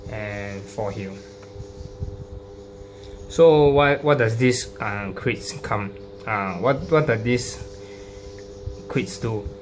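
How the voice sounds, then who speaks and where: A man informally explaining a card deck, halting and hesitant, often repeating his phrases. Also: lowish voice. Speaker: trading card deck profile presenter. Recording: clearly noisy recording with hum.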